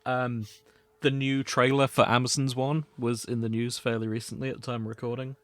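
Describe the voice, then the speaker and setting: A man's voice: mid-range voice, little pitch movement, fairly quick, fairly bright tone, dry recording. A man hosting a casual podcast, joking and teasing with his friends. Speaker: podcast host.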